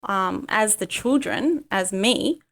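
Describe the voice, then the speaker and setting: Halting, reflective woman. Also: mid-range voice, lively intonation, a bit bright. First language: Cantonese. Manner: halting, many pauses and ums, reflective. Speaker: guest, life coach. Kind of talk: candid personal interview.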